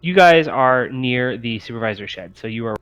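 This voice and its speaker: A man running a tabletop roleplaying game, improvising descriptions in an explanatory, halting way. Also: mid-range voice, animated, fairly bright tone, muffled recording. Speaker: game master.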